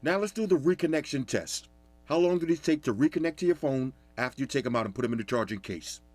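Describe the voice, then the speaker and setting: A man giving a casual, animated product review in a loud, talkative voice. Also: medium pitch, fast, no echo. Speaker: tech reviewer.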